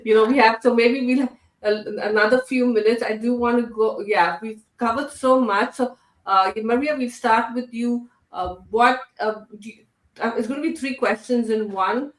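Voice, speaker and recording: A woman hosting a casual video call chat, friendly and quick to thank her guests. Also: fairly high pitch, fairly even intonation. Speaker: host. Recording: roomy echo.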